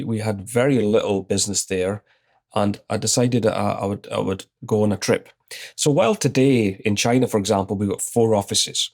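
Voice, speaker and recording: A man's voice: lowish voice, creaky. A man in his fifties with a Scottish accent, an executive guest relaxedly telling an anecdote in an interview. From Scotland. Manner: relaxed anecdotal, retells his own exchanges. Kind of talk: conversational interview storytelling.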